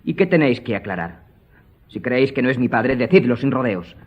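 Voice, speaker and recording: Actor as an insistent, husky young nobleman. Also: mid-range voice, lively intonation, brisk, dull audio, natural voice. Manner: formal, insistent. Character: hot-headed, honour-bound young aristocrat demanding satisfaction. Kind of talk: radio drama acting.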